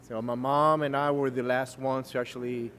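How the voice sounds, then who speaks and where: A man born in Cuba with Spanish as his first language, speaking English with no Cuban accent and telling his story in a relaxed way, with lots of fillers. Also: mid-range voice, normal speed. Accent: American English, no Cuban accent. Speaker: guest. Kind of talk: casual interview chat.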